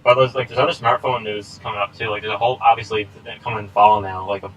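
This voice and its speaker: A relaxed young man in his twenties with a fairly low voice, chatting loosely with lots of ums and quick laughs. A faint hiss of noise sits under the home recording. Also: low-quality recording. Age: 20s. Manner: relaxed, rambling with many fillers and laughs. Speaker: podcast co-host. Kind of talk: casual chat. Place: small home setup. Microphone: laptop recording.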